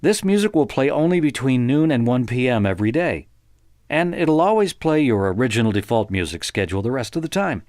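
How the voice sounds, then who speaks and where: An American man narrating a software tutorial conversationally, explaining step by step in an informal, encouraging way. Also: mid-range voice, big pitch swings, brisk, no room sound, studio quality.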